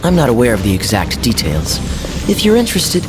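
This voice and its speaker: A man voicing a suave, self-assured young knight captain in a video game, smooth-talking, composed and teasing. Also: mid-range voice, huge pitch swings, fairly quick. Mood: casual, probing. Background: music.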